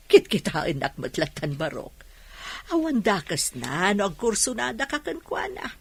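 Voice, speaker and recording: A Filipino woman acting as a teasing, nosy older mother in a radio drama, with a husky voice. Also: medium pitch, sing-song, unhurried, heavily acted.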